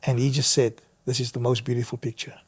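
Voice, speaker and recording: A middle-aged man with a South African accent, telling personal stories as an interview guest in an earnest, measured, reflective way. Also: medium pitch, level tone, slightly rough. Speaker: guest missionary and visiting preacher. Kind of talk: conversational personal storytelling in an interview.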